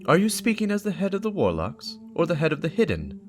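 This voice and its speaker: A man's voice: a little high, wildly swooping pitch, quick pace, voice pushed up. Man voicing a stern, blunt warlock woman. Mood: guarded. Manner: blunt, challenging. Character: stern, hard-staring female warlock investigator.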